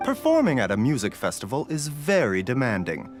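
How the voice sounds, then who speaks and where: An actor voicing a gentle, courteous male herbal doctor in a video game, composed and learned in manner. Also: mid-range voice, wildly swooping pitch, a little fast. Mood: pleased, composed. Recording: prominent music under the voice.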